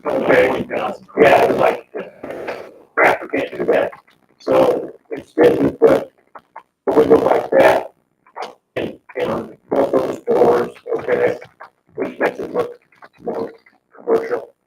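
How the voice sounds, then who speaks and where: A man with a very deep, gravelly voice talks through points at a public meeting in a halting way, often repeating himself. He is far from the microphone in an echoey room with clear background noise. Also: completely flat delivery. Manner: halting, repeats phrases as he explains. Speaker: parks board member. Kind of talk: informal public meeting discussion. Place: indoor town board meeting room. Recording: echoey, noisy meeting room, distant mic.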